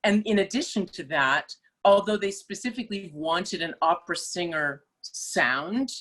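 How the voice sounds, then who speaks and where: A middle-aged woman opera singer, speaking as a guest in a relaxed interview, thoughtful and full of small restarts and fillers. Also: fairly low pitch, unhurried. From Malaysia. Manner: thoughtful, many restarts and fillers, laughs easily. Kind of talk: casual interview chat.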